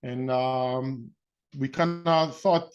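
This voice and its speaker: A middle-aged man with an Israeli accent presents an informal webinar as its host, in a halting, rambling way, often rephrasing mid-sentence. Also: mid-range voice, fairly even intonation, lo-fi sound. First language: Hebrew. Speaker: webinar host and real estate investment coach.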